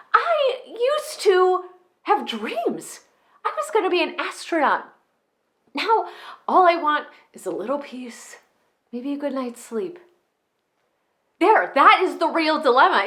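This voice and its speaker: A woman's voice: high-pitched, big pitch swings, a little slow, long pauses, a bit bright, pitched above the performer's own voice, full character voice. A woman performing a comedic monologue as an exasperated, sarcastic, weary parent ranting emphatically in an existential spiral. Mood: despairing, frantic.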